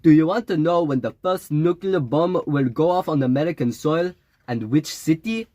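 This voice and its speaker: Man putting on a high-pitched, taunting fake-Jamaican psychic. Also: warm tone, dry recording, close to an everyday voice. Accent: exaggerated Jamaican. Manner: drawn-out mystical pronouncements, needling questions. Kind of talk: audiobook character voice.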